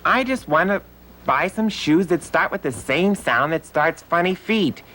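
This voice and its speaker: A woman's voice: lowish voice, animated, a little slow, a bit bright. Eager, bouncy childlike boy puppet. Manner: repetitive, emphatic teaching of letter sounds. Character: eager childlike male puppet letter with big funny feet. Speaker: puppet character on educational show. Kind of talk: children's educational puppet show dialogue.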